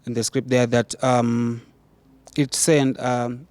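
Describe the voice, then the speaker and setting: A man's voice: mid-range voice, level tone, slow, darkish tone, no room sound. Hesitant man from Zimbabwe. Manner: hesitant, with many uhs and restarts. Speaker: activist guest. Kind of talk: studio debate answer.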